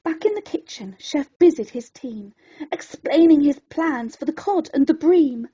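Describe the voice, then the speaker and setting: A woman reading a rhyming children's bedtime story in a dramatic, expressive way. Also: sits high, measured pace, highs cut off.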